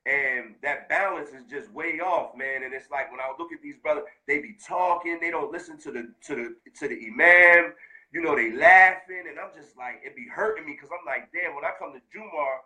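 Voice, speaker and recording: A man from the United States speaking heartfelt and animated in a casual conversation, full of street slang. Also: on the high side, normal speed, poor audio.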